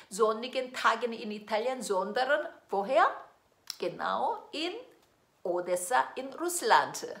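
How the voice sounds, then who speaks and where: A middle-aged woman with a Korean accent tells a song's story slowly and lyrically, asking her listener questions as she presents it. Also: on the high side, fairly bright tone. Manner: lilting, questions the listener directly. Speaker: classical singer presenting a song. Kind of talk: slow storytelling to the viewer. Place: indoor studio in front of green screen. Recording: echoey room with faint music.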